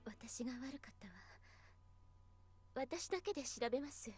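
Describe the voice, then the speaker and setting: A woman voice actor playing a grieving, determined but gentle teacher in a Japanese visual novel, earnest and soft-spoken. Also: sits high, lively intonation, fast, faint music behind. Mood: apologetic, pleading.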